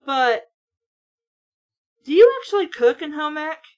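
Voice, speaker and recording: A woman's voice: high voice, lively intonation, unhurried, a little mellow. A woman reading aloud casually with blunt, sweary, sarcastic running commentary in a ranting manner.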